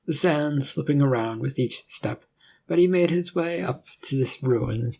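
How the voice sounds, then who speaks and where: A man's voice: mid-range voice, unhurried, creaky, warm tone, husky. A man reading scripted fiction as a narrator, telling the story in a dramatic way.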